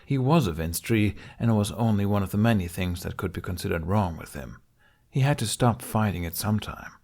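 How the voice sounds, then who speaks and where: A man reading a fantasy adventure story aloud as a scripted audiobook narration. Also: fairly low pitch.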